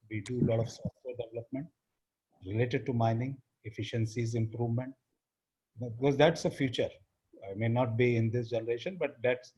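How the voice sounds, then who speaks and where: A man in his fifties speaks English with an Indian accent, his voice husky and set at an ordinary middle pitch. He talks in a halting way, with fillers, restarts and phrases that trail off quietly. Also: rough recording. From India (Uttar Pradesh). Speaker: interview guest, business founder. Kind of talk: casual interview talk.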